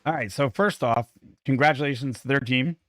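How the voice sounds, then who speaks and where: A man's voice: medium pitch, a little fast, fairly bright tone, soft background music. A man co-hosting a casual show who is losing his voice, chatty, opinionated and self-deprecating. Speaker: podcast co-host. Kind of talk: casual chat.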